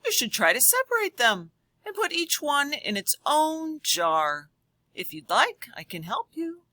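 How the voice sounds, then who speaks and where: A woman voicing a caring little girl who guides a muddled monster friend in a picture book, expressive and sing-song. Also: sits high, a little slow, no room sound, voice pushed up, exaggerated voice. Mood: bright, helpful. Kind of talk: children's picture book read-aloud.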